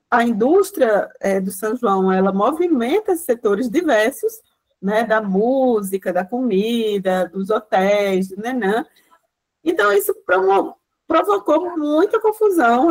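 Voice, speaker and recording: Chatty Pernambucan woman lecturing, higher voice. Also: rough recording. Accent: Pernambuco Brazilian Portuguese. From Caruaru, Pernambuco, Brazil. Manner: chatty, many "né" tags and restarts. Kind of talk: informal lecture.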